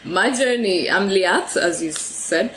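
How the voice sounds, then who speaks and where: A woman's voice: medium pitch, animated, a little slow, fairly bright tone, slight background noise, poor audio. A woman from Ethiopia, a podcast guest talking about her photography, often laughing and restarting words. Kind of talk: conversational interview.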